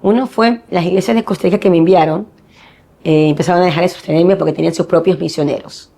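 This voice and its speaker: Middle-aged woman from ecuador, low raspy-fry voice, tells her story earnestly, acts out what people said. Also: steady tone, fast talker, warm tone. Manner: earnest storytelling, acting out quoted dialogue. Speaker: missionary guest. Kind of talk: personal testimony in interview.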